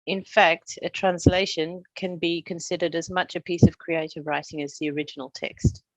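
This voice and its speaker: A middle-aged woman from Australia, a translator, giving a prepared presentation in a measured, explanatory way with touches of humor. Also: lowish voice, little pitch movement, dry recording, poor audio.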